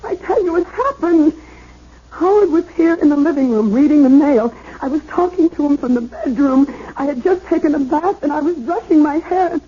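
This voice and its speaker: An actress playing a distraught, high-strung young woman in a 1970s radio drama, pleading, insistent and tearful, with halting repetitions. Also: warm tone, close to an everyday voice. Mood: desperate, insistent. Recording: muffled vintage radio broadcast.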